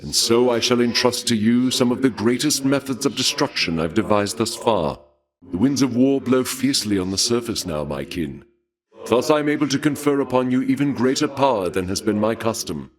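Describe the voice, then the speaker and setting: An actor playing a suave, courtly and bloodthirsty god of war in a video game, speaking in a formal, archaic, measured way with polite menace. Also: male voice, medium pitch, expressive, natural voice. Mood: courtly, grave. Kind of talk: theatrical scripted video game dialogue.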